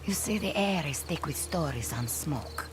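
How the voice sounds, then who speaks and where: A woman playing a grim, wry veteran warrior in a video game, with a measured, brooding way of telling her story. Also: low-pitched, lively intonation, noisy background. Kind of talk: video game cutscene monologue.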